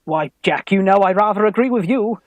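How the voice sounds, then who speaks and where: An actor playing a timid, earnest young English gentleman student in a theatrical Victorian farce, polite, anxious and hesitant. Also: high-pitched, fairly quick, no room sound, close to an everyday voice. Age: young adult. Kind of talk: theatrical radio farce acting.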